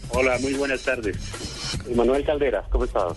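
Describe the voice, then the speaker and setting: A man's voice: mid-range voice, moderate pace, music in the background. A man calling into a radio show, confused and apologetic. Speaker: listener caller.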